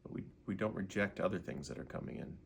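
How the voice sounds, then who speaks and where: Low, creaky-voiced man guiding meditation. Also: slight background noise. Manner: unhurried, gently questioning, with pauses. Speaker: meditation guide. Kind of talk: guided meditation.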